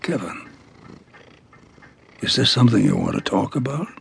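A man playing a gentle, doting elderly grandfather in an audio drama, folksy, with halting exclamations and sighs. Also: on the low side, animated, creaky, slightly rough, full character voice. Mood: gently concerned. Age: older.

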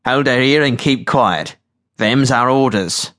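A man playing a stern male marine corporal in an audiobook, with gruff, terse delivery. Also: mid-range voice, slow, fairly bright tone. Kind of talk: audiobook character voice.

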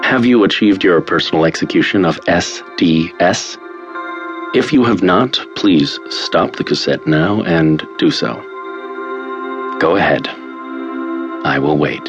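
A man playing a calm, controlling hypnotist who narrates a guided treatment tape in a deliberate, soothing manner. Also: deep voice. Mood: measured, instructive. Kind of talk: guided hypnosis instructional tape in an audio drama. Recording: dull lo-fi cassette-style sound. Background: faint music.

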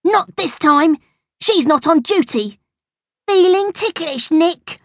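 A woman voicing a bossy, excitable schoolboy pirate captain in a children's story, loud and emphatic. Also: high voice, slow pace, dry recording, clean studio sound, pitched above the performer's own voice, heavily acted. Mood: commanding.

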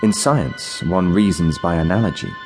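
A man's voice: deep voice, animated, normal speed. A man delivering a scripted, dramatic radio introduction as an announcer. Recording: prominent theme music behind the voice. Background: music.

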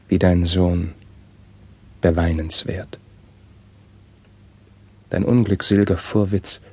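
A tormented young crown prince, husky lowish voice, intense declamatory old theatre verse. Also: male voice, a little mellow, exaggerated voice. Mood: heavy sorrow. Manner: declamatory, emotionally intense, formal verse delivery. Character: young anguished Spanish crown prince, passionate and bitter. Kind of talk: classical German stage drama acting.

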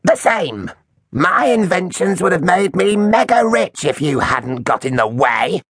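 A man voicing a mean little evil dinosaur inventor, hissing and raspy, sneering with drawn-out menace in a children's storybook. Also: high-pitched, big pitch swings, a bit bright, no room sound, studio quality, voice pushed up, exaggerated voice. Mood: bitter gloating.

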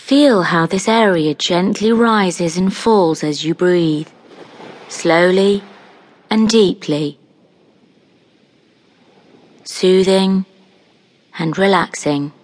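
A woman narrating a scripted guided meditation in a calm, soothing, unhurried manner, leaving long pauses between phrases. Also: lowish voice.

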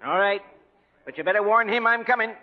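An actor playing a blustering, soft-hearted old sea captain with folksy, gruff talk in a radio drama. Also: male voice, high-pitched, fairly quick, lightly acted. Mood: friendly. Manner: folksy, rough-grammared, gruff sparring. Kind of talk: 1940s radio drama acting. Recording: muffled vintage radio broadcast.

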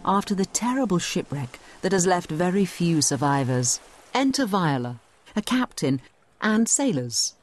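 A woman's voice: lowish voice, lively intonation, measured pace, soft background music. A woman narrator giving scripted scene-setting for an audio play.

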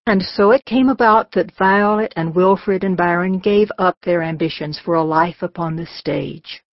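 A woman's voice: lowish voice, normal speed, no room sound, lo-fi sound. A woman narrating a scripted children's audiobook.

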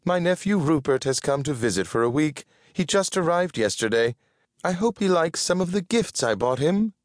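A man voicing a prim, fussy and excitable woman with a proper, exclamatory way of scolding, as in an audiobook. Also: a little high, moderate pace, no echo, clean studio sound, voice pushed up, natural voice. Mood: happy.

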